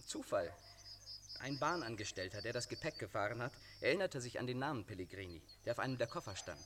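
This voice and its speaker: An actor in a radio drama playing a businesslike policeman who lays out evidence in a deliberate, matter-of-fact way. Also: mid-range voice, lively intonation, noisy background, lightly acted. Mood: businesslike, certain. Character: businesslike policeman on a thief's trail. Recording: clear outdoor ambience with birdsong, insects.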